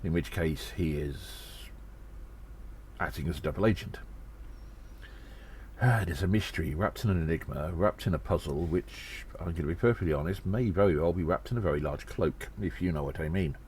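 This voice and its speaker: A man acts out a gnome secret agent with a strikingly low voice, telling his audio drama tale in a theatrical, self-important way. He is cheeky and deliberate, over noticeable background noise. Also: a little mellow, natural voice. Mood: musing, wry.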